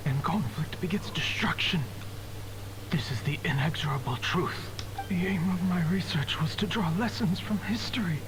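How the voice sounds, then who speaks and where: A man playing a weary, despairing scholar's lingering spirit in a video game, speaking formally and gravely in measured phrases. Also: fairly high pitch, background noise, natural voice. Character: weary, despairing scholar's lingering mind fragment, grandfatherly, solemn. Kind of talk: video game dramatic monologue. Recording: game music behind.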